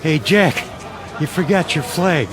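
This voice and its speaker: A man's voice: on the high side, animated, a little slow, music in the background. An actor playing a sarcastic, unimpressed male city policeman in a radio drama, mocking as he goes. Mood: sardonic. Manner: mocking, wisecracking. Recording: noisy street ambience with traffic.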